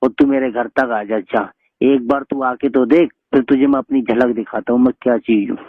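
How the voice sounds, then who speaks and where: A man's voice: medium pitch, steady tone, brisk, no room sound. A man argues heatedly on the phone, scolding and threatening in colloquial speech. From Bareilly area, Uttar Pradesh, India. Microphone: telephone. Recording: muffled lo-fi phone call recording.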